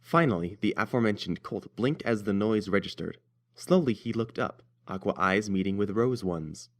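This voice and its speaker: A man's voice: fairly low pitch, moderate pace. A man narrating a scripted story as its reader.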